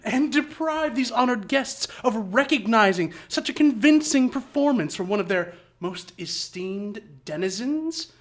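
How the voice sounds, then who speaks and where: A man's voice: extremely high pitch, animated, a little slow, few pauses. A man playing a bitter, deranged washed-up actor in a live radio drama, grandiloquent, sarcastic and vengeful. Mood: sardonic. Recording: roomy echo of a live studio stage.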